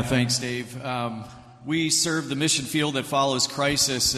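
A man who directs a ministry's international crisis-response arm, answering an interview question in a measured, informative way. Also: mid-range voice, a bit bright. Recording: long hall reverb.

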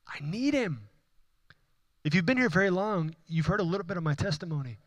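A man's voice: high-pitched, expressive, fairly quick, no room sound. A man from Houston preaching a sermon as pastor, building emphatic repeated phrases with rising intensity. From Houston, Texas. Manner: impassioned, repetitive preaching with rising emphatic runs. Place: church.

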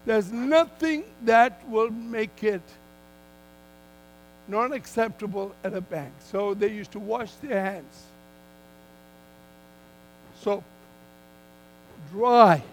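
An older man preaching a sermon as a guest speaker, in emphatic, deliberate phrases that he repeats for weight. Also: high-pitched, poor audio. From Malaysia.

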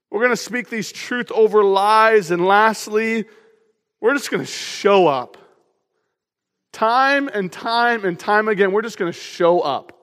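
High-voiced man preaching loudly. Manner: loud, energetic, emphatic preaching. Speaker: pastor. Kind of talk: sermon. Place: church auditorium. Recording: long hall reverb.

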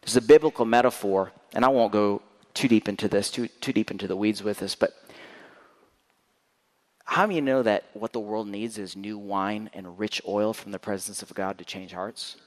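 A man giving a candid sermon as guest preacher, swinging between loud emphatic bursts and quiet, intense lows. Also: moderate pace. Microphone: handheld or stand mic. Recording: echoey church hall.

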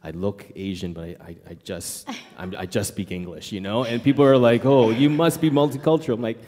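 Man, lowish voice, playful quiz-host manner, church hall, long reverb. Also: brisk. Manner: lively, joking with the audience. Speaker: missionary speaker. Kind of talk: church presentation talk.

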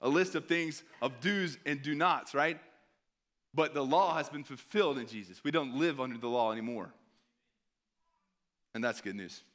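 A hoarse man preaching a sermon in an earnest tone, pausing to read scripture aloud. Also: mid-range voice, a bit bright. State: hoarse, clearing throat.

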